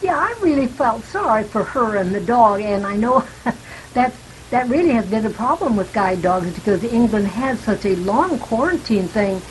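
A woman's voice: on the high side, lively intonation, normal speed, rough recording. A woman giving her opinions in a casual group book discussion, often turning them into tag questions. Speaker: book club participant. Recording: faint hissing, sizzling line noise.

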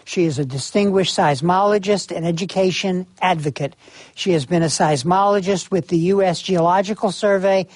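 Measured male host, dry close sound. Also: high-pitched, no room sound. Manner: measured, formal. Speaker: interview host. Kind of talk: scripted introduction and interview questions.

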